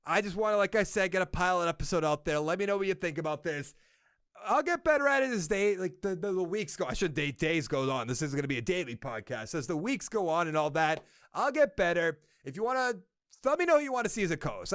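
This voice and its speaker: A man's voice: high-pitched, a bit bright, smooth, dry recording, studio quality. A young man with a Boston accent hosting a casual sports podcast, rambling and thinking aloud as he corrects himself. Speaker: podcast host.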